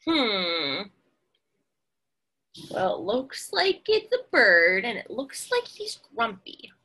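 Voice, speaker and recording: A woman's voice: on the high side, wildly swooping pitch, slow, pushed and bright, slightly rough. A woman in her twenties reading a picture book aloud to young children, expressively and with questions for them.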